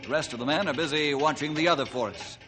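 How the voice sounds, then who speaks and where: An actor playing a firm, upright forest ranger, earnest and assertive, in a 1950s radio drama. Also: male voice, on the high side, quick pace, sharp tone. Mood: stern.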